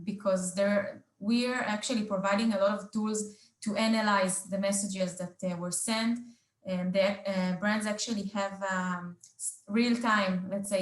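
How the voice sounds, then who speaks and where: Halting, slow-paced woman hosting a roundtable. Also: medium pitch, echoey room. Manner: halting, hesitant delivery with very frequent filled pauses. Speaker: roundtable host. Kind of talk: unscripted business presentation in a panel discussion.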